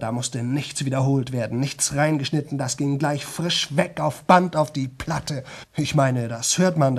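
An actor playing a boastful young man who talks nonstop to an audience in a radio play, chatty, rambling and repetitive. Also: a little high. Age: young adult.

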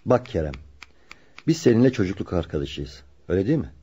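A man's voice: sits low, sing-song, quick pace, warm tone, husky, exaggerated voice. A man playing a loyal, earnest carpenter in a radio drama, speaking politely and seriously. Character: loyal, earnest carpenter protecting his childhood friend. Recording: dull lo-fi radio recording.

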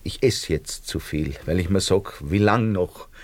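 A fawning, self-pitying Austrian shopkeeper and father in a radio drama. His voice sits fairly low in a dry studio sound, and his Austrian-accented talk rambles theatrically, always angling for advantage. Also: a little slow, a little mellow, dry recording. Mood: brooding, self-pitying. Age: middle-aged.

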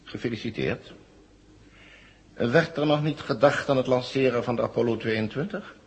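An actor playing a smug, condescending villain in command in a vintage Dutch radio play. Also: male voice, mid-range voice, moderate pace, lightly acted. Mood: smug, probing. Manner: mock-friendly, condescending.

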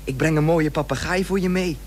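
High-voiced headstrong boy, played by a man. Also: a little fast, close to an everyday voice. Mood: eager, earnest. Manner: earnest, pleading. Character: headstrong, earnest boy longing for the sea. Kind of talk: Dutch radio play acting. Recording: old radio play with faint hum.

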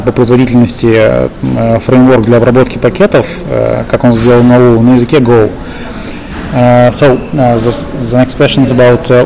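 Halting Russian man interviewing, mid-pitched voice. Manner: halting, piecemeal delivery with long hesitations. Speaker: interviewer and live translator. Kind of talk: interview questions and consecutive translation. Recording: slightly noisy live recording.

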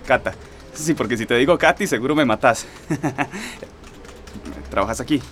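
A polite, nerdy young Costa Rican man, eager to impress. His mid-pitched voice runs on in pedantic little explanations, with faint ambience behind, in radio drama style. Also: lively intonation, unhurried, a bit bright, a little hiss or hum. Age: young adult. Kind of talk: naturalistic radio drama acting.

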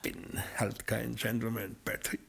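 An actor in a 1960s German radio drama playing a curt, volatile retired gangster who longs to be a gentleman. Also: fairly low pitch, flat delivery, a little slow, gravelly, slightly rough, full character voice. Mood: wounded, failing. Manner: curt, forceful.

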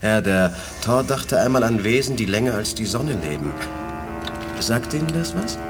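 An actor playing a composed, thoughtful telepath officer with an earnest, respectful manner in a 1980s German radio play. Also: male voice, mid-range voice, animated, normal speed, music in the background, close to an everyday voice.

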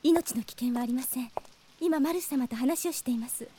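A woman playing an earnest, gentle young girl in an anime audio drama, speaking politely. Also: high-pitched, moderate pace, slight background noise, natural voice. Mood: polite concern.

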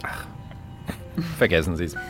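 An actor playing a smug, calculating official, condescending and wry, in a radio crime drama. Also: male voice, unhurried, over music, close to an everyday voice. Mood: smug, placating. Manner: smooth-talking, condescending, wry. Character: smug, calculating male official, cool and ambitious.

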